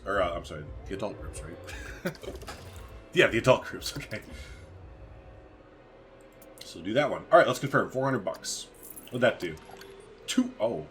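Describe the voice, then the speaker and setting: A man's voice: medium pitch, a bit bright, a little hiss or hum. A man giving casual let's-play commentary as a gaming video host, thinking aloud in informal, slangy language.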